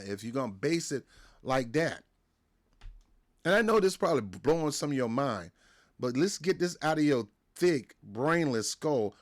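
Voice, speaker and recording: An American man hosting a wrestling show, ranting in a casual, emphatic way, repeating himself and restarting his words. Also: medium pitch. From United States. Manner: emphatic, repetitive, stumbles and restarts. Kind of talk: casual opinion rant to camera.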